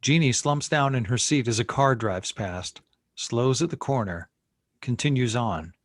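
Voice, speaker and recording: A man's voice: medium pitch, lively intonation, unhurried, no room sound, studio quality. A man reading scene headings and stage directions as narrator at a screenplay table read.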